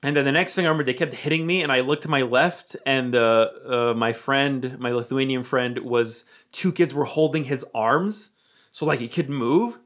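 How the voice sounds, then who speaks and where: A young man from Romania with an American accent, telling a personal story in casual chat. He talks animatedly, swears freely and drops to a low murmur when quoting his own thoughts. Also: normal speed, fairly bright tone.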